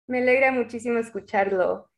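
A woman interviewer with a fairly high voice. She asks her questions in an encouraging way and hesitates with fillers before them. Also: a little fast, vocal fry. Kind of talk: interview in Spanish.

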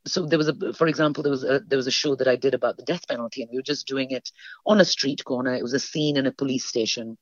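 A woman born in India and raised between the UK and India, speaking as an interview guest and telling stories in an animated, emphatic way. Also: fast, dry recording. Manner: animated, emphatic storyteller with lively pitch jumps. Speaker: guest, stage performer. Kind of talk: interview conversation. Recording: dull, dry sound.

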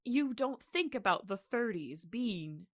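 A woman's voice: medium pitch, animated, takes it slowly. A woman co-hosting a podcast, talking casually and slangily like a storyteller among friends. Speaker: podcast co-host. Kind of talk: casual, chatty podcast discussion.

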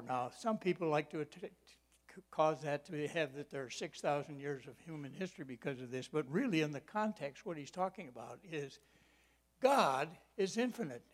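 A man in his eighties preaching a sermon, stressing key words slowly, dropping to quiet asides and telling folksy personal stories. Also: fairly high pitch. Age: 80s.

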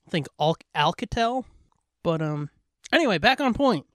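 Animated, high-pitched man swearing freely. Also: moderate pace. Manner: profane, animated fan talk. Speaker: podcast co-host. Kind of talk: casual pop-culture chat.

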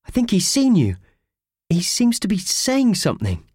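Small giggly storybook mouse: very high, eager, chattering, bouncy intonation. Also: male voice, moderate pace, breathy edge, no echo, clean studio sound, exaggerated voice. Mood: excited, curious. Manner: excitable, earnest, chattering.

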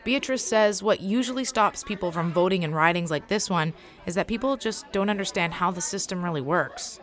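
A woman's voice: fairly low pitch, brisk, a faint crowd. A woman narrating as a podcast host from a script.

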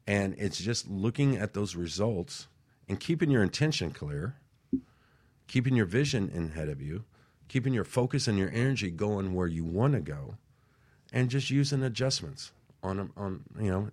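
Deep-voiced man on motivational talk radio. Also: animated, moderate pace, darkish tone. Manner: rambling, repeats words, tags lines with "right?". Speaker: co-host. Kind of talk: motivational talk show chat.

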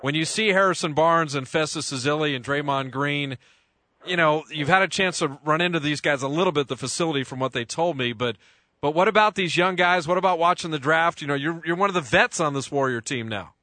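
A man's voice: on the high side, a little fast, pushed and bright, no room sound. A man hosting a sports radio interview in a studio, chatty and joking with lots of fillers.

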